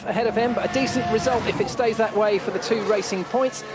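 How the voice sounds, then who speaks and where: High-pitched excited male race commentator. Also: fast talker, noisy background. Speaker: motor racing lead commentator.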